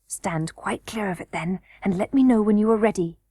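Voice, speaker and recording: A woman voicing a brave, practical young boy miner, hushed, cautious and matter-of-fact, in a children's storybook reading. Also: medium pitch, normal speed, husky, dry recording, studio quality, natural voice.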